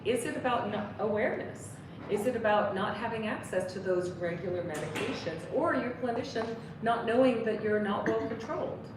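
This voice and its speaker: A woman's voice: a little high, expressive, normal speed. A woman from Canada, a clinician on a panel, explaining earnestly and asking many rhetorical questions. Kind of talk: panel discussion answer. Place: briefing room. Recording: echoey room, distant mic, background noise.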